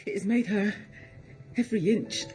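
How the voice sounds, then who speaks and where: An actress playing a kindly, worried housekeeper in a gothic audio drama, with a soothing, hesitant way of talking. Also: female voice, mid-range voice, animated, normal speed, a little mellow, soft background music, lightly acted. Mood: troubled.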